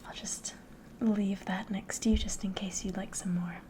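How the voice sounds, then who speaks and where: A woman playing a friendly, curious innkeeper in an ASMR roleplay, speaking softly and politely, often slipping into a whisper. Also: medium pitch, fairly even intonation, close to an everyday voice. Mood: hospitable, gently chatty. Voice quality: soft, breathy, often whispering. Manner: gentle, unhurried, polite, hospitable. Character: friendly, curious female innkeeper of a fantasy tavern.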